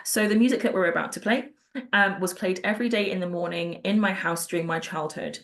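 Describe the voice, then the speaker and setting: A woman with a slightly low voice hosts a panel discussion over a video call. She speaks in an appreciative, affirming way as she guides the conversation. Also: fairly even intonation, brisk, creaky, fairly bright tone. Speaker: panel host. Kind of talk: hosting an online panel discussion.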